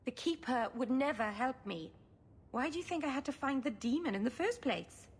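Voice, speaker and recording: An actress playing a stubborn, anxious young elf woman in a video game, speaking earnestly and haltingly. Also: high-pitched, expressive, husky, full character voice. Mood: determined, pleading. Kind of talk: dramatic video game acting.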